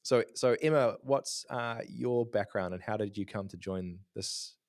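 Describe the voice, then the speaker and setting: Deep-voiced man hosting a podcast. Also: a little slow, darkish tone. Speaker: podcast host. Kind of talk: podcast interview.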